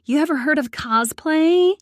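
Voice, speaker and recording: A woman's voice: high voice, measured pace, no echo, studio quality, pitched above the performer's own voice. A woman voicing a bubbly, teasing young female friend in a narrated story, with a gushing, coaxing manner. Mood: cheerful, teasing. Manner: sing-song, gushing, coaxing.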